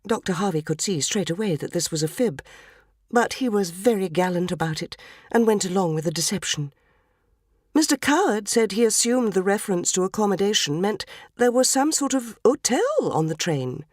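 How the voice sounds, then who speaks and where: Actress as a wistful, genteel English housewife, very animated. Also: female voice, medium pitch, moderate pace, dry recording, clean studio sound, lightly acted. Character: prim, tearful, naive 1940s English housewife confiding in her husband. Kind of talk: dramatic first-person short story reading.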